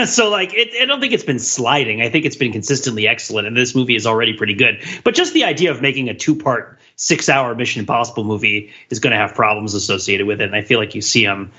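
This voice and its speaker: Male podcast co-host, lively intonation, digressive, mock-exasperated, casual film talk, slightly muffled lo-fi audio. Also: medium pitch, fast talker, breathy edge.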